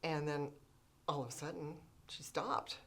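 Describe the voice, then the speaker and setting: A woman's voice: low voice, a little slow, creaky, a bit bright, breathy edge. An actress in her sixties playing a woman who tells a story from the past. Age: 60s. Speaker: interviewee. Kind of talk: film dialogue.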